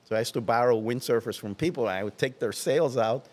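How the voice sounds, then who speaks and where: An older man from Puerto Rico, speaking English with a Puerto Rican Spanish accent, tells stories as an interview guest in a relaxed way, often trailing off into soft asides. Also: mid-range voice, fairly quick, long reverb tail. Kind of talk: casual interview. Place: large watersports shop, indoors. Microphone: headset.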